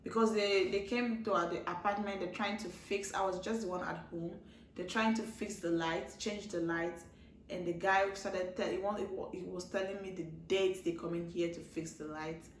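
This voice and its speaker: A woman's voice: lowish voice, fairly even intonation, normal speed, slight background noise. A young woman from Nigeria talking casually to her viewers, rambling with restarts and repeated words. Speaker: vlogger, international student. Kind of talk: casual talk to camera. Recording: echoey room with faint ambience.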